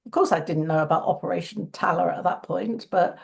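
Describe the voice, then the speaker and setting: A woman speaking as an interview guest in earnest conversation, her voice croaky today and often restarting phrases. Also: on the low side, a little fast. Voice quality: gravelly.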